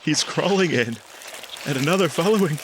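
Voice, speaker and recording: An actor playing a guilt-ridden, horror-struck scientist losing his mind in a 1940s-style radio drama, halting and repeating himself melodramatically. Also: on the high side, lively intonation, noisy background, natural voice. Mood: frantic terror.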